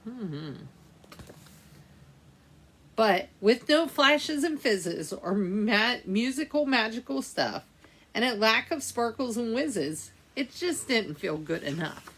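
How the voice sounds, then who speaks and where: A woman reads a children's story aloud in a slightly high voice. Her pitch moves up and down a great deal, and she takes her time, drawing out phrases for young listeners. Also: animated, a bit bright, breathy edge. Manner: dramatic, drawn-out phrasing with chatty asides to listeners. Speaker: children's storytime reader at a library. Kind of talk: expressive picture-book read-aloud for young children.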